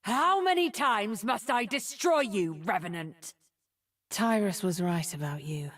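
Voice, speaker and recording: Slow, breathy, scheming sorceress. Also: female voice, mid-range voice, expressive, a bit bright. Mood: scornful. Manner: slow, theatrical, taunting. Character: cold scheming sorceress, menacing and condescending. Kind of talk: video game character voice lines.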